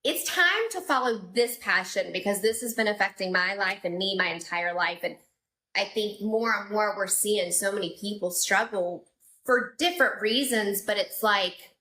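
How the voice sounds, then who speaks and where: A woman's voice: medium pitch, moderate pace, bright, forceful tone, rough recording. A woman with a Southern American accent hosting a live interview, upbeat and bubbly, speaking straight to her audience over a video call. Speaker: podcast host.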